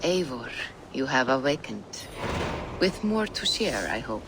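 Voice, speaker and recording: An actress playing a wise, gentle Norse seer in a video game, giving calm, probing, portentous counsel. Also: female voice, rumbling low, unhurried, background noise, exaggerated voice. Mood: curious. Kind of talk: dramatic video game cutscene acting.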